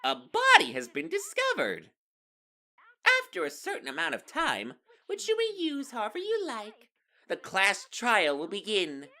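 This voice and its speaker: A woman's voice: on the high side, wildly swooping pitch, unhurried, sharp tone, exaggerated voice. A woman voicing a gleeful, taunting robot bear mascot in a theatrical, mock-polite announcer manner for an anime game fan dub. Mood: gleeful, mock-official.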